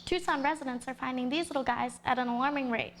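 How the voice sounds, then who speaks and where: High-pitched American woman reporting news. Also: fast, a bit bright. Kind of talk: scripted broadcast news narration.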